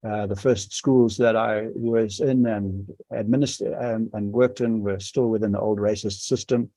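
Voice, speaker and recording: A man from South Africa with a South African accent, leading an online workshop, speaking haltingly with many pauses and restarts. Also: lowish voice, steady tone, dark tone, no echo. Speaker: school administrator leading a workshop. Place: home or office on a video call. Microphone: video call microphone.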